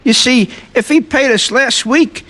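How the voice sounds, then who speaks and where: A man's voice: very high voice, a little slow, bright, forceful tone, heavily acted. A man playing a tough American protection-racket thug in an old radio crime drama, curt and threatening. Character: menacing male protection-racket thug, the boss of two.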